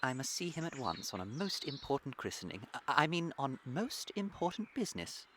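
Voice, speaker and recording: An impetuous young English gentleman suitor, his mid-pitched voice swooping widely. He is ardent and easily flustered, in comic radio play style with a British accent. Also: very animated, a little fast, a little hiss or hum.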